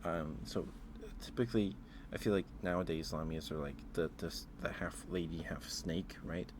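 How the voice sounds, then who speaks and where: A man streaming casual ASMR chat, talking haltingly, often repeating words and trailing off. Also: low-pitched, steady tone, noisy background. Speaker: streamer hosting a ranking.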